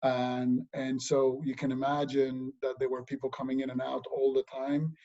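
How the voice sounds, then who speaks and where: A middle-aged man from Spain, a guest in a casual remote interview, talking in a relaxed way with many pauses and fillers. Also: mid-range voice. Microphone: video call. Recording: dull lo-fi video call audio.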